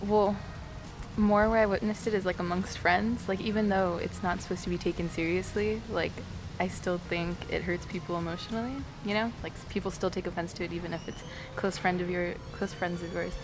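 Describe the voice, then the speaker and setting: A woman's voice: lowish voice, steady tone, fairly quick, background noise. An eighteen-year-old woman, a student, speaking earnestly and thoughtfully outdoors on campus. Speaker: student interviewee.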